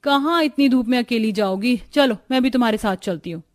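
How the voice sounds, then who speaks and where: A woman playing a young village daughter-in-law in a cartoon story, expressive and chatty. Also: on the high side, brisk, dry recording, close to an everyday voice. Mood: plaintive. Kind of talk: Hindi cartoon story dialogue.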